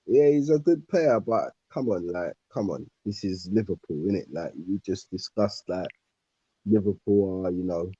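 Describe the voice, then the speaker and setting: A man's voice: low-pitched, moderate pace, warm tone, slightly rough, no room sound, lo-fi sound. A man in casual football banter, slangy, emphatic and exasperated. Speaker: podcast panellist.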